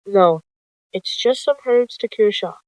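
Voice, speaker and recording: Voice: medium pitch, a little slow, no echo, lightly acted. A narrator voicing a sly, evil female cat healer in a roleplay story, falsely soothing and menacing. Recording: dull lo-fi close dry recording.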